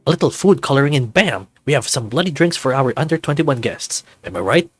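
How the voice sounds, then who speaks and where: A man's voice: medium pitch, lively intonation, a little fast, slightly rough, no room sound. A man voicing an easygoing husband in a visual novel, soothing and casually upbeat as he reassures his partner. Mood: soothing, jokey.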